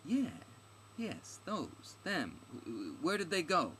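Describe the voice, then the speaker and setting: A man's voice: mid-range voice, expressive, a little slow, fairly bright tone, pitched above the performer's own voice. A man voicing a brash, foul-mouthed, impatient warrior woman in a fantasy story, with a blunt, sarcastic delivery. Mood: impatient, pushy. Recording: clearly noisy recording with ambient background.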